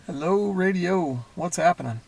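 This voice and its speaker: A man's voice: high voice, lively intonation, normal speed, creaky, very noisy. A middle-aged American man hosting a hobby how-to, talking in an easygoing, self-deprecating, casual way. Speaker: host of a radio hobby channel.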